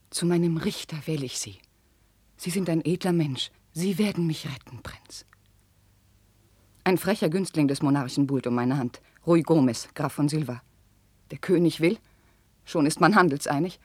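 An ardent young princess at a royal court in a vintage radio play. Her voice is lowish and husky, recorded dry and close. She delivers classical slowly and theatrically, moving between hushed confiding and fervent declamation. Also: animated, no room sound. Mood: impassioned confession. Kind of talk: 1950s German radio play, classical verse acting.

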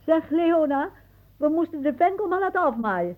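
An actress playing a whimsical, dreamy elderly aunt in a radio play, musing theatrically and drifting into hushed asides. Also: female voice, high voice, sing-song, moderate pace, phone-quality sound. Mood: fussy, insistent.

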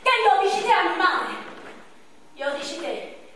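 Woman acting furious young man, high voice, echoey stage heard from far off, noisy. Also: expressive, measured pace, heavily acted. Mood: anguished. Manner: shouting, crude, furious outbursts. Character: young man of 31, beaten, bitter and angry. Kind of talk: stage monologue.

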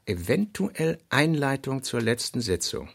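A man with a gravelly voice reading scripted literary narration for a radio drama. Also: mid-range voice, sing-song, measured pace, background noise.

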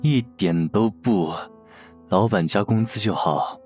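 A man's voice: fairly low pitch, takes it slowly, darkish tone, faint music behind. A man playing a shrewd, cheeky young gambler with a wry, grumbling manner in a Chinese audio drama. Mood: sarcastic grumbling. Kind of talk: Chinese audio drama acting with inner monologue.

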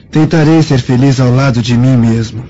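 A resolute but melancholy Roman officer acted by a man talks formally with a Brazilian accent. The voice is dark and mellow, in radio drama style. It sounds slightly muffled. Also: medium pitch, a little hiss or hum, natural voice. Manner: grave, formal.

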